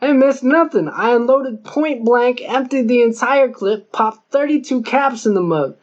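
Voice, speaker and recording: Boastful gangster gunman acted by a male narrator, argumentative and slangy, pitched up, lo-fi audiobook. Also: a little slow. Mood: boastful, defensive. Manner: slangy, insistent street talk. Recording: dull lo-fi recording.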